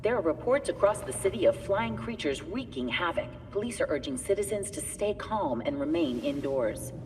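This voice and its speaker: A woman's voice: on the low side, expressive, normal speed, a little mellow, long reverb tail, faint music behind, natural voice. An actress playing a female television news anchor, reading a report in formal newsreader delivery. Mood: urgent. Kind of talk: broadcast news report in a game.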